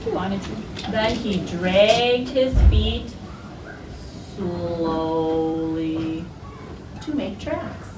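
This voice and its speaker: A woman's voice: mid-range voice, extremely slow. A woman teacher leading an interactive read-aloud for young children, instructing, asking questions and repeating their answers back. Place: classroom with children. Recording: roomy, distant mic, loud classroom noise.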